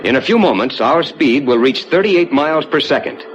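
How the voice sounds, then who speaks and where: An actor playing a calm, reassuring rocket ship captain who explains the flight to passengers in measured, courteous 1950s ride narration. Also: male voice, on the high side, lo-fi sound, lightly acted. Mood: composed, instructive. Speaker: spaceship captain and tour narrator. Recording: noisy vintage 1950s ride soundtrack.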